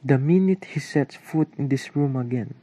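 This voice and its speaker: A man's voice: mid-range voice, normal speed, dark tone, slight background noise, lightly acted. A man playing a grumbling but appreciative tinkerer father in an amateur radio play. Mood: grumpy.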